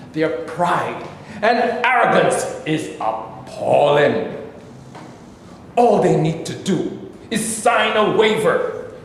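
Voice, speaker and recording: A man's voice: very high voice, a little hiss or hum, heavily acted. A man playing a grandiose preaching minister. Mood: indignant. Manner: oratorical, drawn-out swelling delivery. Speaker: actor in a staged reading. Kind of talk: stage reading of a sermon. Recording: echoey hall with long reverb.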